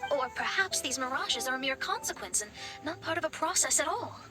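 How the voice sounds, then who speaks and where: Game noblewoman: poised, formal, analytical, high voice, prominent music underneath. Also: lively intonation. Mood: thoughtful. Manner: eloquent, formal, measured. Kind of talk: video game voice acting.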